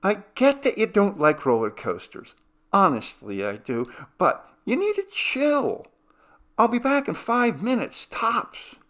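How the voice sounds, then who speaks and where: Man voicing a high, breezy young woman. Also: huge pitch swings, a little slow, a bit bright, heavily acted. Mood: breezy, impatient. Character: breezy impatient young woman, confident sister.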